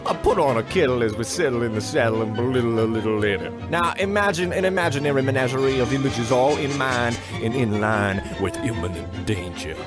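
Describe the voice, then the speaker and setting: An actor playing a rambling radio interviewer who talks in wordy, alliterative rhymes, in old-time radio comedy style. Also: male voice, medium pitch, lively intonation, fairly quick, hardly any pauses, close to an everyday voice. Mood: chatty, showy. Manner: wordy, alliterative, sing-song rhyming. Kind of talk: 1940s radio comedy acting. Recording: music bed under the voice.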